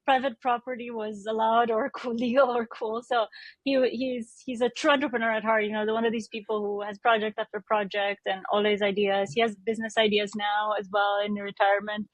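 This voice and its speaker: A woman's voice: on the high side, little pitch movement, a little fast, no room sound, poor audio. A woman in her thirties from Romania, a startup founder answering interview questions thoughtfully, often restarting her sentences. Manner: thoughtful, many restarts and self-corrections, light laughs.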